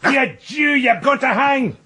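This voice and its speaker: An actor playing a manipulative, browbeating male police inspector in a radio crime drama, with a husky voice. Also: very high-pitched, expressive, normal speed, pushed and bright, full character voice. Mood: accusing, aggressive. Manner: insistent, browbeating interrogator.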